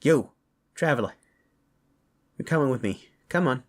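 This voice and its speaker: A man's voice: mid-range voice, animated, normal speed, voice pushed up, natural voice. A man voicing a brash, impatient orc warrior and protective family man in a roleplay audio drama, swearing freely. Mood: urgent, impatient.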